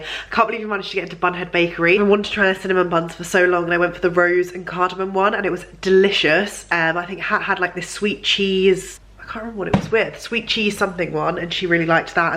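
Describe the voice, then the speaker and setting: A woman's voice: lowish voice, fairly bright tone. A woman, chatting casually in a vlog while ill, her voice congested and nasal. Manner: chatty, gushing, lots of fillers. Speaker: vlogger.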